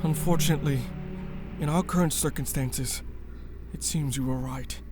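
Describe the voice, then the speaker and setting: A man's voice: on the high side, normal speed, music in the background, close to an everyday voice. A man acting a guarded, stubborn rebel in a sci-fi radio drama, earnest and formal in his speech. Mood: grave, subdued.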